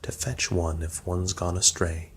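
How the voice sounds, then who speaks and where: A man reads a long poem aloud in a quiet, measured ASMR manner. His voice sits very low and stays calm and hushed throughout. A faint hiss runs beneath the reading. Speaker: ASMR narrator. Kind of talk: soft-spoken ASMR poetry reading.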